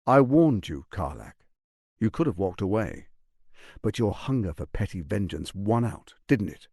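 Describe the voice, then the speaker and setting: Pompous scheming tyrant with wildly swooping delivery. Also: male voice, lowish voice, very animated, a little slow, a little mellow, no room sound, clean studio sound, heavily acted. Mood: cold, reproachful. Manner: pompous, theatrical, articulate, condescending. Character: scheming, silver-tongued tyrant archduke, grandiose and menacing. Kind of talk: theatrical video game voice acting.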